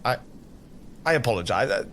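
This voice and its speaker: An actor playing a courteous, smitten knight in an audio drama, deferential and a little halting. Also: male voice, mid-range voice, expressive, fairly bright tone, slight background noise, natural voice. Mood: admiring, awkward.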